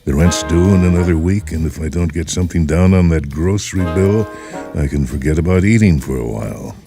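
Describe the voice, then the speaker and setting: A struggling male writer, as acted by a male story reader mutters to himself in a very deep voice. He is anxious and hesitant, thinking aloud in fits and starts. Music plays behind this short-story character. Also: brisk. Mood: worried, pleading.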